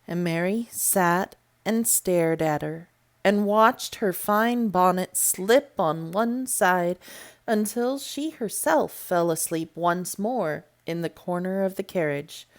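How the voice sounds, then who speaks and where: A woman reading a classic novel aloud as storybook narration, in a deliberate, measured manner. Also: on the low side. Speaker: storybook reader. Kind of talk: read-aloud audiobook narration.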